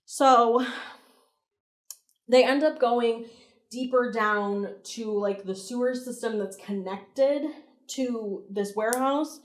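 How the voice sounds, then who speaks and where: A woman with a slightly high voice gives casual, opinionated commentary as a video host. She is conversational and emphatic, with blunt, sweary phrasing. The recording has a clearly roomy echo around her voice. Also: slow. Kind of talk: casual commentary, breakdown analysis.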